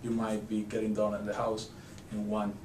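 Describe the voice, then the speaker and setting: A man with a Cuban Spanish accent, a handyman answering interview questions in a halting way, full of fillers and restarts. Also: lowish voice, completely flat delivery. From Cuba. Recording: roomy, distant mic, ambient noise.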